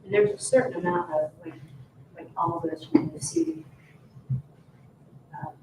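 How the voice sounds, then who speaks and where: Echoey, halting board member, off mic. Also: high voice, very animated, vocal fry, a little mellow. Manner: halting, thinking aloud. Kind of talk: informal meeting discussion. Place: indoor public meeting room. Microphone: distant room microphone. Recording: roomy, distant, faint noise.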